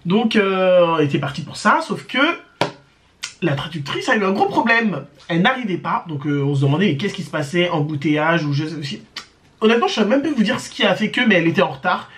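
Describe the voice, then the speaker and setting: A woman's voice: on the low side, animated, brisk, a little hiss or hum. A woman telling a personal story to her viewers in a casual, chatty way, often hesitating with fillers and restarts. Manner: chatty, addresses viewers directly. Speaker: storytime vlogger.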